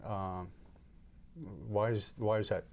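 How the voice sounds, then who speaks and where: A man's voice: on the low side, level tone, slow, a little mellow. Male host asking a guest friendly, wandering questions, faint hiss behind. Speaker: talk show host. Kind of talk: interviewing a guest.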